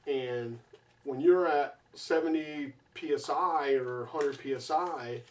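A man's voice: medium pitch, slow pace, distant mic. Earnest middle-aged man explaining to camera. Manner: earnest, gestures with his hands, many fillers. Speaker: home safety presenter. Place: basement beside a water heater, indoors.

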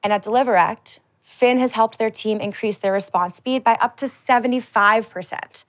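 A woman's voice: fairly high pitch, moderate pace. Polished woman presenting a product demo. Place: large dark indoor studio stage.

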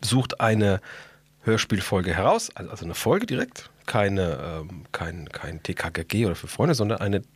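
Male podcast host, deepish and raspy, colloquial, jokey and blunt, casual chat. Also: very animated, normal speed, no room sound.

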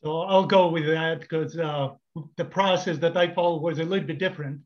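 A man's voice: a little high, steady tone, normal speed, highs cut off. A middle-aged man from Greece, a parent speaking on a school panel, candid and reflective with many fillers. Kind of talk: panel discussion.

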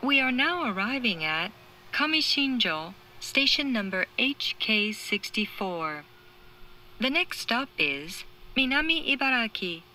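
A woman reading formal, measured scripted train announcements in clearly separated phrases through an onboard speaker. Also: a little high, big pitch swings, sharp tone, poor audio. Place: recorded inside a train carriage.

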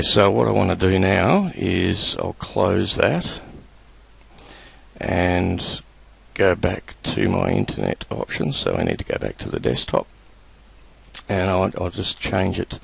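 A man presenting a screen-recorded computer tutorial, talking in a relaxed, halting way with many fillers. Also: low voice, vocal fry, slightly rough.

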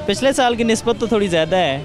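A man's voice: high-pitched, expressive, fast talker. A Pakistani man, a shopper, giving short spoken answers in a street interview. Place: crowded outdoor street market. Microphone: handheld reporter microphone. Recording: busy market crowd noise.

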